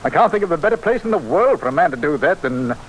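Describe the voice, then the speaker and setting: Brisk kindly british police inspector, excitable, voice jumps around a lot, old radio show w some hiss. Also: male voice, fairly high pitch, rough recording. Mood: affable. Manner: brisk, exclamatory. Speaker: actor in a radio thriller. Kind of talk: old radio drama acting.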